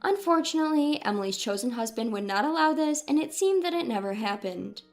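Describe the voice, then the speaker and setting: A woman narrates a scripted first-person story in a high voice. Her delivery is dramatic and clearly read aloud. Faint music plays behind her. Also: animated, brisk.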